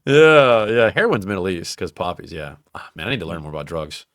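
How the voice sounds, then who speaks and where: A man's voice: sits low, big pitch swings, brisk, a bit bright, dry recording, studio quality. A young man co-hosting a podcast, swearing freely and teasing his friend, laughing often. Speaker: podcast co-host. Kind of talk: casual crude banter.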